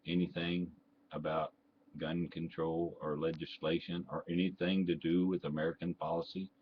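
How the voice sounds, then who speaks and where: An older man with a Texan accent, talking bluntly and indignantly straight to camera, full of "uh"s and restarts. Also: a bass voice. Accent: Texan, mixed with Southern. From Texas. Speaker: vlogger and ham radio operator. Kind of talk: opinionated rant to camera. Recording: dull lo-fi home recording with faint noise.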